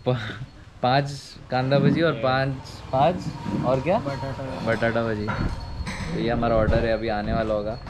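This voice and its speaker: A young man chatting casually to camera at a roadside stall with friends, relaxed and jokey. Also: mid-range voice, unhurried, heavy background noise. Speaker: motovlogger. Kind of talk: casual vlog chat.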